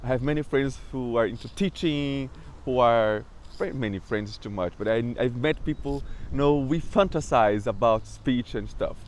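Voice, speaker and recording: Young Brazilian-accented man, stutters, mid-pitched, casual vlog talk, faint hiss under it. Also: moderate pace, hardly any pauses. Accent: Brazilian Portuguese. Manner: stutters, with repetitions and restarts. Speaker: vlogger.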